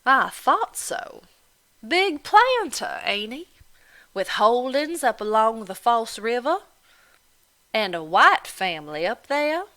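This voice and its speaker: Woman reading a bullying landlady, loud drawl, bright hard edge. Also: fairly high pitch, big pitch swings, takes it slowly, pitched above the performer's own voice, exaggerated voice. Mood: taunting. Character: sneering, coarse woman landlady, scornful and bullying.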